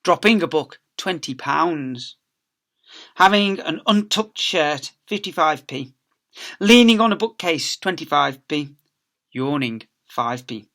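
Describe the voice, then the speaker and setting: A man reads a children's story aloud in a bright, punchy voice. He is a teacher performing for pupils, leaning theatrically into the key words. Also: fairly high pitch, animated, slow pace. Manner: theatrical emphasis with acted sound effects. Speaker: teacher reading aloud to schoolchildren. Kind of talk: animated storytelling.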